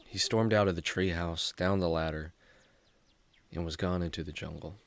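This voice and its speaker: A man's voice: deep voice, steady tone, moderate pace, vocal fry, no room sound. A man playing a friendly, earnest young adventurer in a children's radio drama, speaking like a clean-cut storyteller. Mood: somber storytelling.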